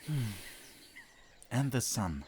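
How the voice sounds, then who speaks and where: A man playing a soft-spoken hopeful young man. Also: medium pitch, very animated, very slow, slight background noise. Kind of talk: audio drama acting.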